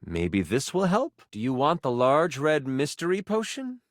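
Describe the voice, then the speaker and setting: Animated, theatrical fantasy game master. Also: male voice, fairly high pitch, measured pace, no room sound, studio quality. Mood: teasing, dramatic. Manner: storyteller's theatrical delivery with teasing asides. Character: dramatic male tabletop game master narrating a fantasy adventure. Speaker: game character narrator. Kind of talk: theatrical second-person fantasy game narration.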